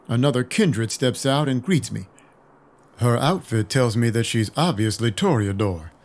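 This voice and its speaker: An actor playing a world-weary vampire detective, narrating a noir audio drama in a wry, hard-boiled, deadpan way. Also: male voice, medium pitch, lightly acted. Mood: wry, confiding. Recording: music behind the voice.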